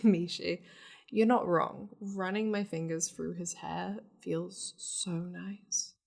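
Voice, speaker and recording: A woman plays a powerful demon lady in an intimate ASMR roleplay. She speaks slowly and languidly, with a fry-edged voice that slips into breathy half-whispers. Her manner is seductive, teasing and possessive toward her pet. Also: on the low side, steady tone, darkish tone, exaggerated voice. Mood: fond, teasing.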